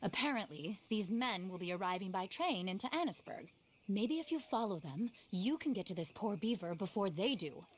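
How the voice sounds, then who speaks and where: A woman's voice: mid-range voice, expressive, moderate pace, breathy edge. A woman acting an eccentric, passionate, animal-loving naturalist in a video game, theatrical, earnest and a little scattered. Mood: admiring, urging. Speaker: quest-giving wildlife specialist in a western game.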